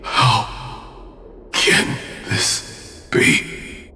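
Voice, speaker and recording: An actor playing a wounded, desperate knight in a video game, gasping and pleading in halting phrases. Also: male voice, bright, forceful tone, slight background noise, heavily acted. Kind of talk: dramatic video game voice acting. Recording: echoey, distant, music behind.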